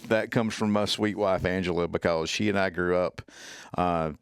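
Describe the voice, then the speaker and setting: A middle-aged man from Mississippi with a Southern accent, a podcast guest talking in a folksy, animated, colloquial way. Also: deep voice, moderate pace, gravelly, smooth, dry recording. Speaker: podcast guest, trauma care instructor. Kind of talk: casual podcast conversation.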